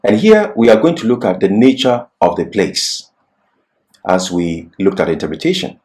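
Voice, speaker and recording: Deep-voiced man teaching, widely varied pitch. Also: very animated, moderate pace, darkish tone. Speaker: Bible teacher, presenter. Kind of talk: scripted religious lecture with explanatory asides.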